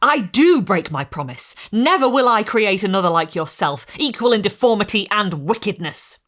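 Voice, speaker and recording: A woman's voice: mid-range voice, very animated, normal speed. Woman voicing a furious defiant young scientist. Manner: loud, forceful defiance.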